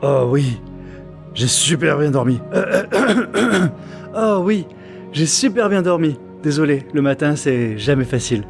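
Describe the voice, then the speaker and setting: A man voicing a groggy young woman adventurer with a rough, croaky morning voice, apologetic and reassuring. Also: a little high, expressive, a little slow, faint music behind, pitched above the performer's own voice.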